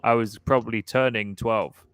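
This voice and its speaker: A relaxed man in his thirties hosting a livestream podcast, chatting loosely and laughing easily. His voice is smooth and resonant, recorded dry and close. Faint music sits underneath. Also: on the low side, moderate pace, no echo. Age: 30s. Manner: easygoing, laughs often, reads viewer comments aloud. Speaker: podcast host. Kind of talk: casual livestream chat.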